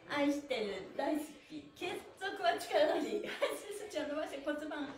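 A woman's voice: high-pitched, animated, measured pace. A middle-aged woman leading a cheerful exercise livestream as an instructor, upbeat and exclamatory with sing-song encouragement. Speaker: stretching instructor and mime performer. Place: small bare indoor studio room, on a mat. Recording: echoey room.

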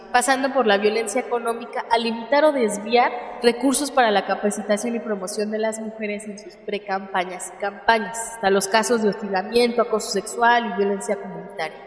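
A woman's voice: mid-range voice, natural voice. A woman playing an earnest female political activist and community leader in a radio drama, speaking in a speech-making way. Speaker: actor in a radio serial. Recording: echoey hall.

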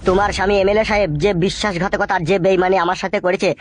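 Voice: mid-range voice, little pitch movement, racing, a little hiss or hum, natural voice. A performer voicing an aggrieved, loyal young man in a cartoon comedy, earnest and dramatic. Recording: faint music behind the voice.

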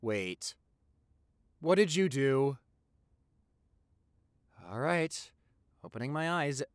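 A young man playing an affectionate, excitable vampire boyfriend in an intimate audio roleplay, gushing and stammering. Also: medium pitch, extremely slow, dry recording, studio quality. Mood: curious, hesitant. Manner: gushing, stammering, repeats himself.

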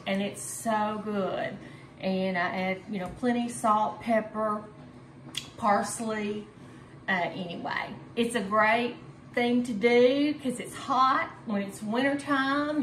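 A woman's voice: mid-range voice, a bit bright. A woman in her fifties casually showing a home recipe in her kitchen, chatty and homey, with lots of fillers and easy laughs. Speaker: home cooking video host. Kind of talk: casual cooking demonstration. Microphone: camera on counter at medium distance. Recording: echoey kitchen with faint ambient noise.

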